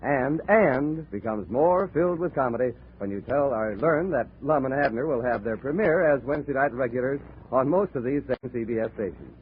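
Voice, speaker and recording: A man announcing on a 1940s radio broadcast, with a bright, polished promotional delivery. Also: medium pitch, lively intonation, moderate pace, hardly any pauses, slight background noise. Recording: tinny old radio broadcast recording.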